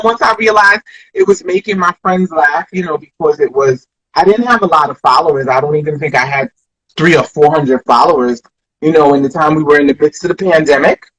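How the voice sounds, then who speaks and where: A woman's voice: low-pitched, big pitch swings, brisk, slightly rough, low-quality recording. A woman comedian from Brooklyn with an American accent, telling stories in a rambling, reflective way full of restarts in a casual interview. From Flatbush, Brooklyn, New York. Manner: rambling, reflective, frequent restarts and "you know".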